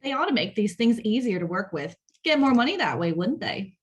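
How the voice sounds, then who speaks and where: A woman's voice: fairly high pitch, sing-song, a little fast, slightly rough. A woman acting a snooty, showy wealthy wife in a screenplay table read.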